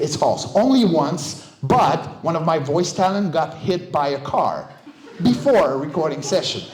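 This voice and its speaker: A man's voice: high-pitched, darkish tone. A middle-aged man, a native French speaker, giving an informal talk as a guest speaker and voice coach. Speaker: guest speaker, voice director and vocal stunt coach. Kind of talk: casual conference talk. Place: indoor game developer meetup venue. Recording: roomy, echoing event space.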